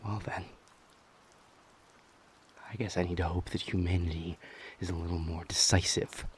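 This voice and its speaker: A male actor plays a stern man of authority in a fantasy audio drama. His voice is low, with terse, formal, commanding delivery. There is faint rain noise around it. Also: flat delivery, moderate pace, close to an everyday voice. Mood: tense, challenging.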